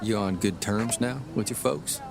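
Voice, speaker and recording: A man's voice: fairly low pitch, vocal fry. A hesitant 1930s newspaperman, noisy background. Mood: wistful. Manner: halting, polite, noncommittal. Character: mild, hesitant 1930s traveling newspaperman, married, nostalgic. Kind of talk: period radio drama acting.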